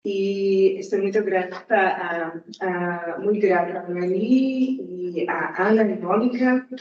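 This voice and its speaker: Woman, Slovak accent, Portuguese traces, hesitant, slow, distant mic, dull sound. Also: mid-range voice, steady tone. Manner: hesitant, searching for words in a non-native language. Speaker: guest speaker. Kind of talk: conference talk. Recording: echoey hall, distant mic, muffled.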